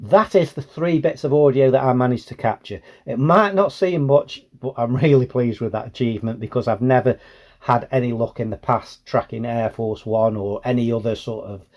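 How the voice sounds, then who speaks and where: A man's voice: mid-range voice, darkish tone. A British man giving a casual, plain-spoken explanation as a hobbyist presenter.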